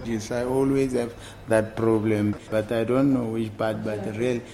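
Man, lowish voice, hesitant, searches for words, chatter behind. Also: fairly even intonation, moderate pace. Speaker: interviewee. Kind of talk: casual recollection.

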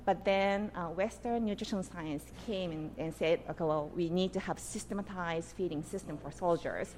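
Animated, lower-pitched woman from Japan explaining. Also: normal speed, a little hiss or hum. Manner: animated, explains with many "you know" and "right?". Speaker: author and guest. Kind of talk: conversational interview answers.

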